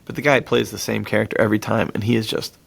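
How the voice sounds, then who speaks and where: Gravelly-edged man chatting about films. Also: lowish voice, level tone, fast talker. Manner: enthusiastic, chuckles as he talks, slangy. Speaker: podcast co-host. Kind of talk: casual film discussion, retelling plots.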